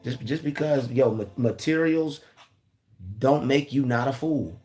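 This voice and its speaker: Raspy-voiced man, earnest pep talk, repeats himself, faint music. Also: mid-range voice, unhurried, mellow. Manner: repeats phrases, preachy and earnest. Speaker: motivational vlogger. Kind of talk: casual motivational talk.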